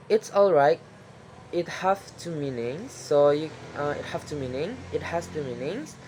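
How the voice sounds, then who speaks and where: A young man giving a spoken exam presentation as a lesson, talking haltingly with frequent restarts and non-native grammar. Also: fairly high pitch, darkish tone, a little hiss or hum.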